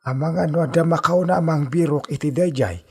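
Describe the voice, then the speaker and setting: A man's voice: a little high, fairly quick, creaky, slightly rough. A man playing a wary, easily alarmed villager in a radio drama, fretful and exclamatory.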